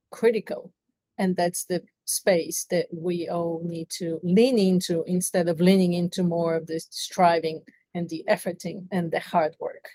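A woman's voice: fairly low pitch, mellow, dry recording. A middle-aged woman from Bulgaria with a Bulgarian accent, telling her story earnestly and reflectively as a podcast guest. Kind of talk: interview conversation.

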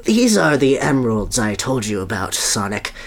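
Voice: medium pitch, very animated, moderate pace. A podcast reader voicing an earnest, boastful scientist doctor who explains a grand scheme at length. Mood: earnest, grave. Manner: boastful, expository.

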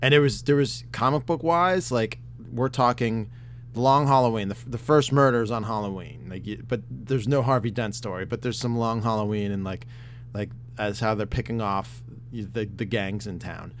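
A man co-hosting a casual podcast chat, talking in an excitable, rambling way with lots of fillers and swearing, his voice getting worn. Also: fairly low pitch, moderate pace, slight background noise. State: losing his voice. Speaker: podcast host.

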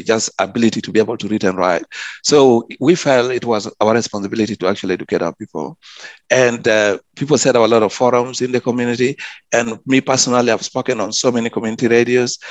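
A man's voice: medium pitch, dry recording. A man, a public health officer answering interview questions earnestly, often restarting and repeating words. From South Sudan.

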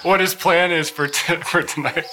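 A man's voice: fairly high pitch, steady tone, normal speed, heavy background noise. A man hosting a live convention panel in a casual, rambling way. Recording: clear crowd murmur behind.

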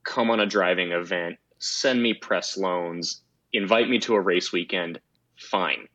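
A man with a deep voice, a guest in a casual interview, speaking in a measured, reflective and frank way. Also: bright, forceful tone, dry recording. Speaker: guest, motorsport site founder.

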